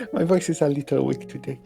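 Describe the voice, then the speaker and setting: A co-streamer bantering casually and teasing the reader with drawn-out jokes. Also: mid-range voice, gravelly, a little mellow.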